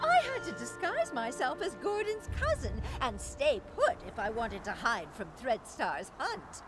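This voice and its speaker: A woman's voice: fairly high pitch, wildly swooping pitch, measured pace. A woman voicing an elegant, sentimental former actress in video game story dialogue, with a dramatic, lilting storytelling manner. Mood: theatrical, confiding. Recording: background music under the voice.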